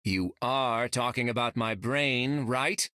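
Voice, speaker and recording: A man's voice: mid-range voice, takes it slowly, bright, forceful tone, no room sound, studio quality. A man voicing a brainy, wry, slightly anxious scientist in a video game. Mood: awkward. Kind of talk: video game character dialogue.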